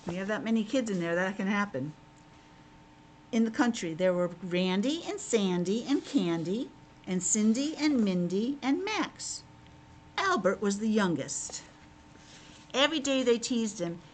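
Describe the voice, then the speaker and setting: A woman teacher reading a picture book aloud to young children, breaking off for simple conversational asides. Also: medium pitch. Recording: clearly noisy background.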